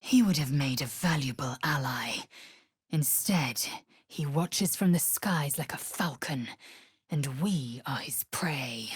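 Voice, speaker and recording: An actress playing a fierce, proud alien warrior woman in a video game, with a raspy voice and curt, imperious, formal diction. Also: sits low, expressive, slow, dry recording, studio quality, heavily acted. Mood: measured, wry.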